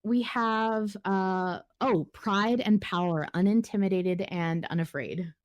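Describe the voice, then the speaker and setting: A woman's voice: mid-range voice, takes it slowly, no room sound. A woman hosting a podcast with casual, playful and wry banter. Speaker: podcast host.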